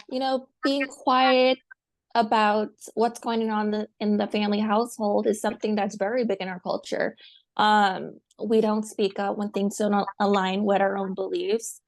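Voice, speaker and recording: A woman from the United States telling her personal story as a guest, speaking softly and haltingly with many pauses. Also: mid-range voice. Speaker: guest, survivor. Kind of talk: personal testimony.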